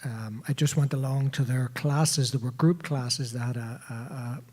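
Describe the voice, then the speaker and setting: A man, a piper on a panel, speaking modestly and calmly with stammered starts. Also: medium pitch, moderate pace. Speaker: Irish piper, panel guest. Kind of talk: casual panel conversation.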